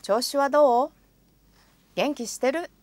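A woman with a fairly high voice models phrases for a language lesson. She says each line with careful pronunciation and then repeats it. The recording is dry and close. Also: moderate pace, a little mellow, dry recording. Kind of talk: slow, repeated example phrases. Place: studio.

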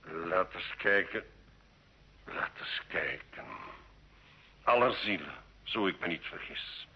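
An actor playing a sly, learned medieval alchemist in an old radio drama, measured and courtly, with a sardonic edge. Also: male voice, low-pitched, animated, husky, lo-fi sound, heavily acted. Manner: slow, measured, deferential yet sardonic.

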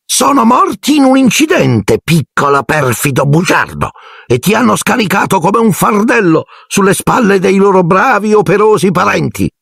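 A drunken, bullying aunt with a shrill, soaring voice that swoops wildly as she sneers and jeers. Her tone is gravelly and husky. The sound is dry and close. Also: huge pitch swings, unhurried, no echo, full character voice. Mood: furious.

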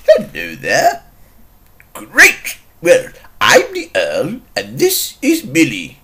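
A man's voice: on the high side, wildly swooping pitch, slow, pushed and bright, exaggerated voice. A man voicing a genial, eccentric older earl from a children's cartoon, kindly and encouraging.

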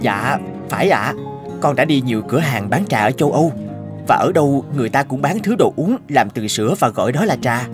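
A man's voice: mid-range voice, lively intonation, brisk, over music, lightly acted. A man playing an earnest, polite young teahouse owner in a cartoon, explaining things respectfully. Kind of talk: Vietnamese cartoon dub.

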